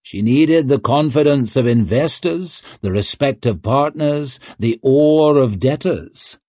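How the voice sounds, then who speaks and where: A man voicing a vain, flattering male writer who speaks in flowery, grandiose phrases. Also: medium pitch, slow pace, no echo, voice pushed up. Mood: pompous.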